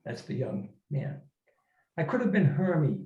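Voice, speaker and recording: A man speaking as an author at a literary reading, in a reflective, halting manner. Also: fairly high pitch, very animated, mellow. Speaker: author reading and discussing own work. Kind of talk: informal literary talk and story reading to an audience. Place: echoey room, recorded from a distance. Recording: muffled, echoey room, distant microphone.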